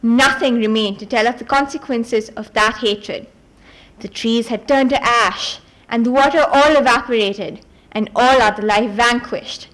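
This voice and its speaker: High-pitched woman reading a story aloud. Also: a little slow, a bit bright, rough recording. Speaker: reader at a book event. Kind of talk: reading a fantasy novel aloud.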